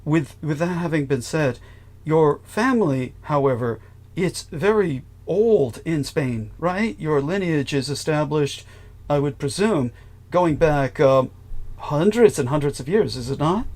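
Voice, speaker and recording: A man's voice: fairly high pitch, lively intonation, measured pace, darkish tone. A man hosting a podcast conversation, formal and effusive, often restarting his sentences. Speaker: host.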